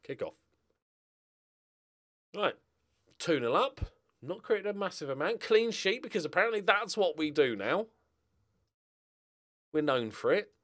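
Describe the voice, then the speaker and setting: A middle-aged man hosts a gaming video in a fairly high voice. His pitch moves around a great deal as he rambles casually through the play. The delivery is wry and jokey, often at his own expense. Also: sing-song, measured pace, long pauses, a bit bright. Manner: wry, self-mocking, jokey. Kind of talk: casual rambling game commentary.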